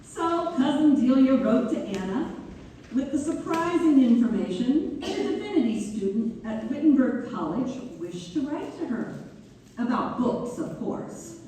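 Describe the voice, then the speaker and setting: A woman's voice: high-pitched, big pitch swings, a little slow, mellow. A woman in a community theater read-through playing a devoted daughter and author who reminisces tenderly about her parents. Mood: fond storytelling. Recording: distant, very echoey hall, faint noise.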